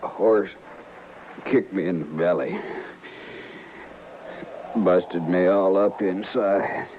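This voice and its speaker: An actor playing a badly hurt, dying cowboy, halting and pained, with bitter resignation, in a Western radio drama. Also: male voice, low-pitched. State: gravely injured, weak, labored speech. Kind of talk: old-time Western radio drama acting. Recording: tinny, noisy old radio recording.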